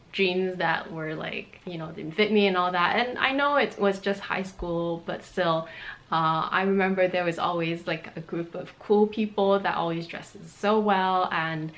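Young woman from Montreal, Cantonese first language, slightly low voice, chatty vlogger talking to camera at home. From Canada (Montreal). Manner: chatty, animated hand gestures, frequent fillers. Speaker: beauty and fashion vlogger. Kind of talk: casual chat to camera. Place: bright bedroom indoors.

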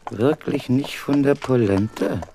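Man acting gruff coach driver, suspicious, slangy, unhurried, radio play, slight background noise. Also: medium pitch, natural voice. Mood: wary, brusque. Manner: slangy, blunt.

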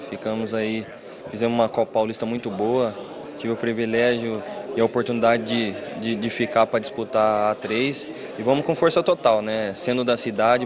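Earnest Brazilian footballer, mid voice. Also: male voice, medium pitch. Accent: Brazilian Portuguese. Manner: earnest, steady answers. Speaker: football player. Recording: crowd noise behind.

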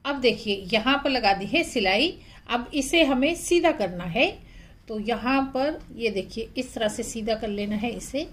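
A woman's voice: fairly high pitch, animated, measured pace, slight background noise. A woman hosting a craft tutorial, narrating step by step in a plain, instructional manner.

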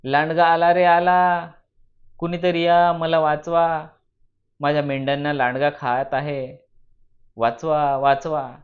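A cheeky shepherd boy from a moral tale voiced by a man, raised in pitch and loud. He stretches out his calls in a teasing, prankish way. The recording is slightly dull and lo-fi. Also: level tone. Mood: loud, pleading. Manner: loud, drawn-out shouting and teasing. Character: mischievous shepherd boy crying wolf and mocking villagers.

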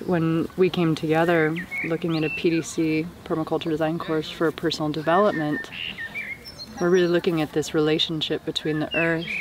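A young woman from the United States, an herbalist and permaculture teacher, speaking in an earnest, passionate and measured way outdoors in a rural field. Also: low-pitched. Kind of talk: casual interview talk. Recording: outdoor countryside noise. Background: birds in the countryside.

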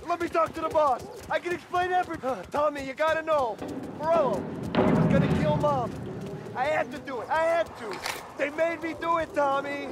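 A man's voice: very high-pitched, a little fast, very noisy. An actor playing a terrified gangster traitor begging for his life in frantic cries, in a crime drama game. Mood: terrified, pleading.

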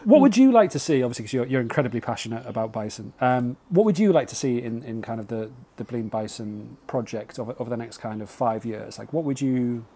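A man's voice: mid-range voice, lively intonation, fast talker, few pauses, warm tone. A man, chatting casually as a podcast host. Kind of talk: casual interview chat. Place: small log cabin. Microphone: close podcast mic on boom arm.

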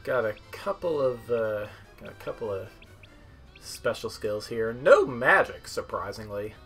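A young man giving casual, offhand gameplay commentary as he demos game characters, with a hesitant, halting delivery. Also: lowish voice, very animated, fairly bright tone. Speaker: gamer demoing video game characters. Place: indoor room at home, seated. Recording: game music behind the voice. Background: video game music.

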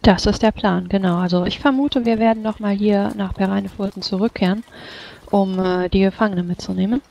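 A woman roleplaying in character at a fantasy tabletop game, in measured, formal phrasing. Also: medium pitch, steady tone, vocal fry, dark tone. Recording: dull, lo-fi voice chat.

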